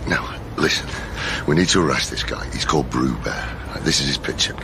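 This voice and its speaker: An actor playing a brusque, exasperated police detective in a radio drama, blunt and impatient. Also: male voice, very deep voice, normal speed. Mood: terse, instructing. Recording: noisy ambient background.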